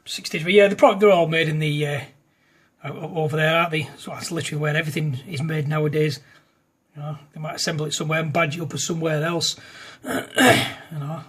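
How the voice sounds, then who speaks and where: Man, husky, fairly high voice, laid-back radio chat, clears throat a lot. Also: fairly even intonation, fast, fairly bright tone. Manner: easygoing, says "mate" often, frequent throat clearing. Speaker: ham radio operator.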